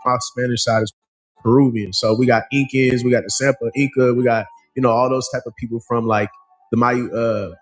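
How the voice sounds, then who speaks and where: Casual male interviewer, medium pitch. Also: fairly even intonation, fairly quick, soft background music, rough recording. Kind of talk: casual chat.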